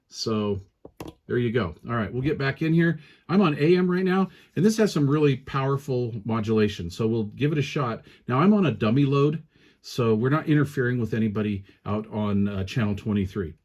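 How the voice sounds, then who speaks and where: A man hosts a hands-on gear review in a mid-pitched voice. His way of talking is folksy and informal, with plenty of colloquial turns. He narrates casually, like a hobbyist showing equipment to viewers. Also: animated, fairly quick, darkish tone. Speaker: product reviewer, channel host.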